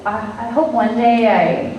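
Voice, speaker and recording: A woman's voice: on the high side, fairly even intonation, measured pace, muffled recording, noisy background. A woman voice actress on a convention panel, joking about her own voice in a self-deprecating, playful way. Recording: echoey hall from far off.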